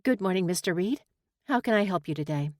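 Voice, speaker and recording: A woman voicing a flustered, lovestruck young bank teller who feigns composure in a romance audiobook, coy and a little breathy. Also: fairly low pitch, expressive, fairly quick, darkish tone, dry recording, studio quality, natural voice. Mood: nervous, polite.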